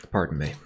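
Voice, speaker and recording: A man hosting a let's play, chatting casually and reading the game's story text aloud, his voice a little raspy from congestion. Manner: relaxed, thinking aloud while shopping for upgrades.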